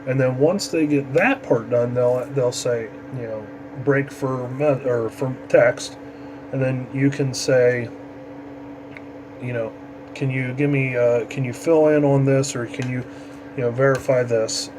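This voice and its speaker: A man explains a procedure step by step in an informal, halting tutorial manner, like an instructor walking someone through it. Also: medium pitch. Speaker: amateur radio instructor. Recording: noisy, steady background hum.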